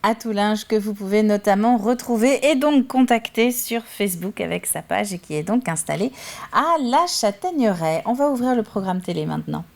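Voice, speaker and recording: A woman presenting a TV morning show, announcing segments in a brisk, scripted way. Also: medium pitch, lively intonation, vocal fry.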